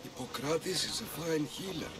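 An actor playing a feeble, fearful elderly temple priest in a video game, hesitant and reverent, speaking like an old storyteller. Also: male voice, on the high side, normal speed, natural voice. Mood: storytelling, opinionated. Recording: music behind the voice.

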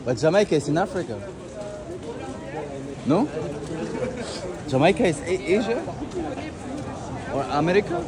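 A young Moroccan man with a fairly high, lively voice, teasing and joking as he vlogs. His speech bounces up and down in pitch. A loud street crowd and traffic roar all around him. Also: measured pace, pauses often. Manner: playful, teasing, laughs often. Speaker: travel vlogger. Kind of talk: casual street vlog chat. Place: busy city street outdoors.

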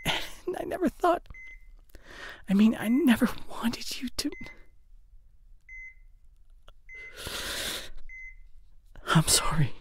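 Slow, halting, guilt-ridden boyfriend, acted by a man. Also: extremely high pitch, big pitch swings, in a whisper, full character voice. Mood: anguished, tearful. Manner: halting, stammering, apologetic. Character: guilty, remorseful drinking boyfriend, soft and pleading. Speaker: audio roleplay voice actor. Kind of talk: intimate boyfriend audio roleplay.

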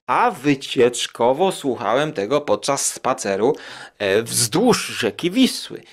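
A man's voice: mid-range voice, sing-song, slow. A man hosting a podcast in a casual, colloquial, rambling and somewhat hesitant way. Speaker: podcast host.

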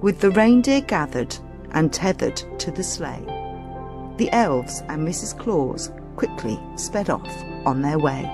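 A woman reading a children's story aloud as dramatic, expressive, suspenseful narration. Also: low voice, takes it slowly. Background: music.

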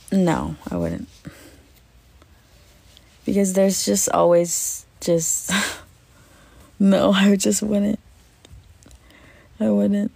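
A woman in her twenties chatting casually on a livestream, lying in bed and answering comments with lots of fillers, in a voice she calls deep. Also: vocal fry, mellow. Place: bedroom, lying on a bed indoors. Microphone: phone at arm's length.

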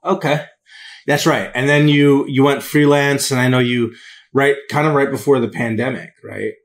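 A man co-hosting a podcast, introducing a guest in a quick, upbeat, casual way with fillers. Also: mid-range voice, steady tone. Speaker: podcast co-host, former teacher. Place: home room with bookshelves.

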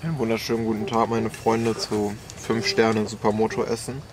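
A man's voice: lowish voice, little pitch movement, normal speed. A young man hosting a casual motorbike vlog in a joking, mock-announcer way. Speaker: vlogger. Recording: noisy outdoor camera audio.

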